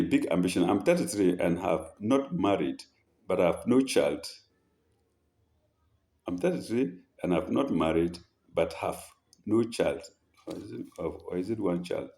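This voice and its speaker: Male advice-show host: slow and halting as he reads letters aloud, low in pitch. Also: darkish tone. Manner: halting, rereads phrases and corrects himself. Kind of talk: reading listener letters aloud with commentary.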